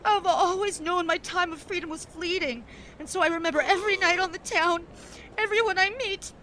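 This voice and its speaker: An actress playing a spirited young high-society woman of the 1920s in an audio drama, effusive and theatrical with a wistful streak. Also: pushed and bright, a little hiss or hum. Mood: wistful, tearful. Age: young adult. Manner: effusive, theatrical, halting when emotional. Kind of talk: period audio drama acting.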